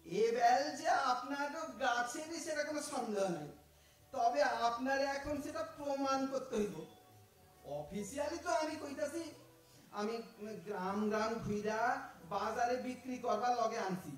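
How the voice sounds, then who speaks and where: An actor playing a cheeky small-time village thief with an East Bengal rural dialect, talking in a sly, cocky drawl in a radio play. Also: male voice, extremely high pitch, normal speed. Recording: echoey room, far from mic.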